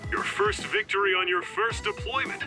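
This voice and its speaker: A man playing an excitable, gushing announcer and reporter in a video game, proclaiming like a breathless sportscaster. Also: sits high, normal speed. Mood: eager, probing. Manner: gushing, declamatory, showy. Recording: dramatic game music behind.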